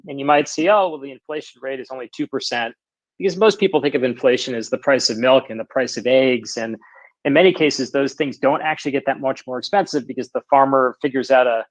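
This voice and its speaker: Male panel guest; mid pitch, earnest explainer, stumbles and repeats, dry mic. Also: no echo, poor audio. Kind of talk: panel discussion on an audio chat app.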